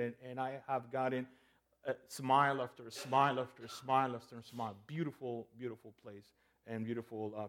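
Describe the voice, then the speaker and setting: A man from El Salvador, a guest preacher giving a playful, conversational sermon with frequent restarts and "okay?" checks. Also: a little high.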